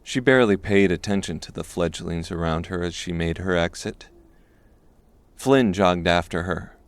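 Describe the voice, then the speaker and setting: Male narrator for dark fantasy: very low-pitched voice, scripted storytelling, dry studio-close sound. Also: normal speed, dry recording. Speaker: audiobook narrator.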